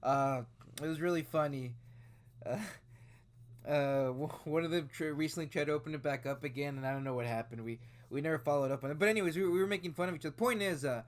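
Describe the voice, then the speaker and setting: A man's voice: on the high side, fast talker. A man in his late twenties talking through a news story in casual, rambling commentary, full of fillers and restarts. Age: 20s. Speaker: video host reacting to news.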